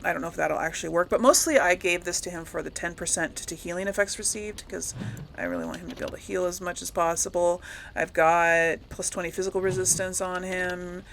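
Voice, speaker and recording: A woman's voice: fairly low pitch, lively intonation, brisk, vocal fry, a bit bright, slight background noise. A woman giving casual, rambling let's-play commentary to viewers, thinking aloud as she goes. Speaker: gaming video host.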